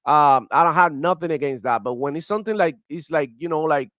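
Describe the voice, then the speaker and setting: A man's voice: fairly high pitch, a little fast, fairly bright tone, no echo, clean studio sound. A young man from Cuba with a heavy Cuban Spanish accent, rambling heatedly in casual live-stream commentary. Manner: rambling, heated, many fillers and restarts. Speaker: gaming streamer.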